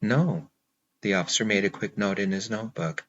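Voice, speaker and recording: A man narrating a first-person romance novel in a measured, intimate way. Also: lowish voice, steady tone, a bit bright, lo-fi sound. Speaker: audiobook narrator.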